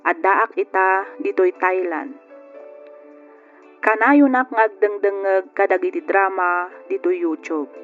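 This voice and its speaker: Music plays faintly behind a thin, phone-like recording of a wistful woman, voiced by an actress. She narrates like someone reading her own letter in a radio drama, at a medium pitch. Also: lightly acted. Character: wistful female letter writer narrating her lost love.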